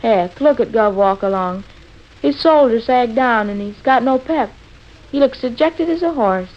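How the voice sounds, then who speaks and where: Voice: mid-range voice, expressive, measured pace, natural voice. A performer with an American accent playing an earnest, matter-of-fact boy in a 1940s radio comedy. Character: earnest, literal-minded American boy, needling his father. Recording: muffled old radio recording.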